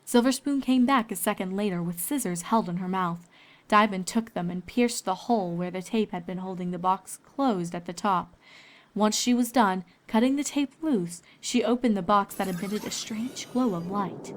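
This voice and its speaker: A woman's voice: medium pitch, normal speed. A woman reading scripted story narration as the narrator of a fan fiction.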